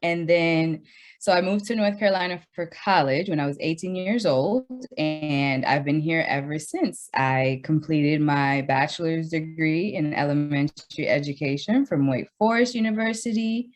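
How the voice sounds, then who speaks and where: A woman in her thirties, from the Dominican Republic and raised in Brooklyn, tells her story as a guest on a radio show. Her voice is very low for a woman. She talks in a conversational way, with "you know" fillers and restarts, and her pace shifts between quick and slow. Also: faint music behind. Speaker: educator, guest. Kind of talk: radio interview.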